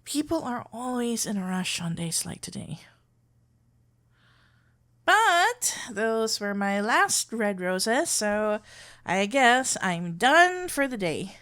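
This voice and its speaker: A woman's voice: on the high side, sing-song, takes it slowly, fairly bright tone, exaggerated voice. A woman playing a sweet, flustered florist best friend in an ASMR roleplay, playful and awkwardly hesitant. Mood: fond, teasing. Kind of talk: ASMR roleplay acting, one-sided dialogue.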